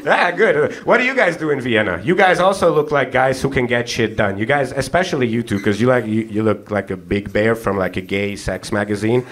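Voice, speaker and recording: A man's voice: mid-range voice, a bit bright, a little hiss or hum. A young man doing stand-up crowd work on a comedy club stage, teasing the audience into a handheld mic. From half Hungarian, half South African. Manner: teasing, quick banter with audience, frequent laughs. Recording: live club with audience laughter.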